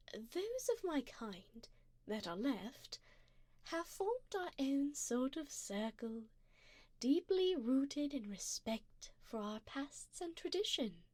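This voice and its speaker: Woman doing an ancient vampire sugar mommy, asmr roleplay, breathy whispers, kinda high voice, real slow, doting and seductive. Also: mellow, no echo, heavily acted. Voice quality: breathy, often dropping into whispers. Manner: slow, intimate, formal and coaxing. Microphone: close binaural microphone.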